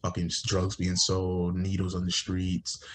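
A man who grew up in Connecticut, telling stories in a casual podcast chat, animated, slangy and swearing. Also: low voice, a little slow.

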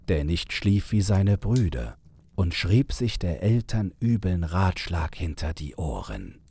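Male narrator, very low, very husky, unhurried, dark warm tone, scripted fairy-tale storytelling. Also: no echo.

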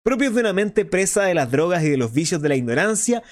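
An actor playing a flamboyant, sardonic underground radio host in a radio drama, talking in a grandiloquent, ironic, defiant way. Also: male voice, high-pitched, very animated, quick pace. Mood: indignant, mocking. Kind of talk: theatrical radio drama monologue.

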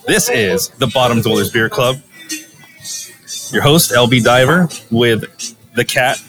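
A man's voice: medium pitch, expressive, unhurried. A man with a stuffy, congested voice, co-hosting a casual chat from a small dive bar, laid-back and easygoing. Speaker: podcast co-host. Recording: music playing in the bar. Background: jukebox music.

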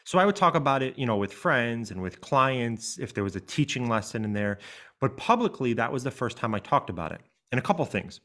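A man's voice: mid-range voice, very animated, brisk, fairly bright tone. A middle-aged man hosting a coaching podcast, talking in an earnest, reflective way and thinking aloud. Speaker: life coach and podcast host. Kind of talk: reflective interview conversation.

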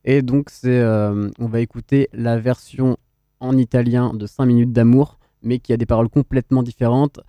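A man's voice: mid-range voice, fairly even intonation, normal speed, dry recording, highs cut off. A man hosting a music radio show with casual, hesitant, conversational commentary. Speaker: radio host. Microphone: close studio radio microphone.

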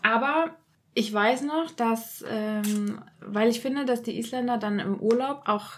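A woman's voice: a little high, unhurried, a little hiss or hum. A young German woman hosting a podcast, chatty and teasing as she asks questions. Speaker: podcast host. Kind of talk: casual conversation.

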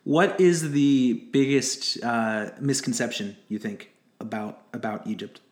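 A man's voice: mid-range voice, measured pace. A man, conducting a casual interview and often searching for his words. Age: 20s. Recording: echoey, roomy sound.

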